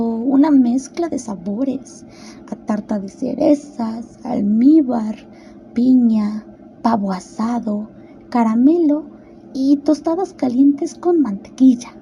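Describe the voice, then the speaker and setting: A woman reading a children's tale as an expressive scripted storybook narrator. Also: on the high side, a little hiss or hum, poor audio.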